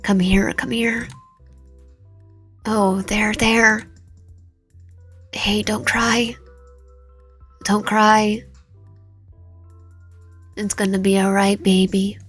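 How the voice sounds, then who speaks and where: A woman's voice: mid-range voice, flat delivery, very slow, long pauses, a bit bright, slightly rough, faint music behind, heavily acted. A woman voicing a doting, possessive man in a romance story, cooing and condescending. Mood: soothing. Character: doting, possessive man, tender and creepily condescending captor-husband.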